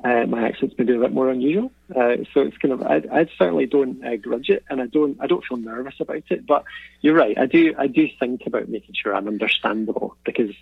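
A man's voice: mid-range voice, fast, creaky, husky, no echo, phone-quality sound. A Scottish man chatting reflectively as an interview guest, laughing often and restarting his sentences. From Scotland. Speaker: podcasting guest. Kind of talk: casual interview chat.

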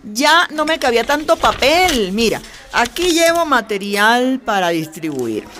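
A woman's voice: fairly high pitch, very animated, measured pace. A woman playing a middle-aged Venezuelan activist and former teacher in a radio drama, speaking colloquially, earnestly and with emphasis. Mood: friendly, frank. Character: passionate middle-aged Venezuelan feminist leader and retired teacher.

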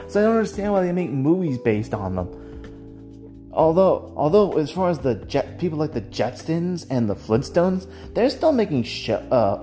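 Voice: medium pitch, warm tone. A person giving casual, rambling solo commentary as a host, with a hesitant way of talking that circles back over phrases.

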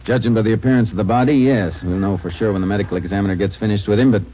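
Man acting steady Texas Ranger, lowish voice, terse and probing, old radio drama, muffled noisy recording. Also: rapid-fire, natural voice. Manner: terse, matter-of-fact questioning.

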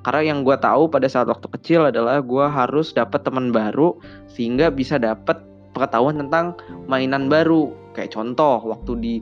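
A man's voice: medium pitch, moderate pace, highs cut off, soft background music. A young man from Bandung hosting a podcast, telling personal stories in casual slangy chat and acting out childhood dialogue in a raised voice. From Bandung, West Java, Indonesia. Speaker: podcast host.

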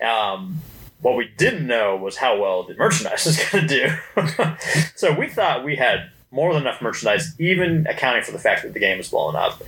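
A man with a bright, forceful voice talks casually on a podcast. His pitch moves around a lot as he recounts things emphatically, in an animated way. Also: moderate pace, poor audio. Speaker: podcast co-host recalling company history.

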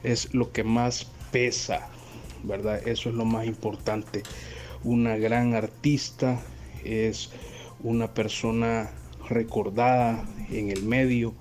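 A man sending a formal, measured voice greeting to a live show. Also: medium pitch, level tone, darkish tone, faint music behind. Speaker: viewer sending a voice message.

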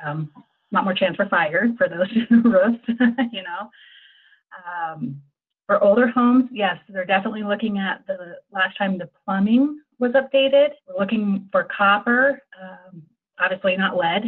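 An American woman speaks through thin, phone-like audio at a middling pitch. She explains things as an insurance agent would to a client, informally and agreeably, in a chatty conversational flow. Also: a little slow.

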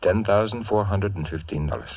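Secretive, polite Greek patriot in vintage radio drama: very low, earnest, dry room, dulled old recording. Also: male voice, fairly quick, no room sound, muffled recording. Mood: earnest, confiding. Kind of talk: 1940s radio drama acting.

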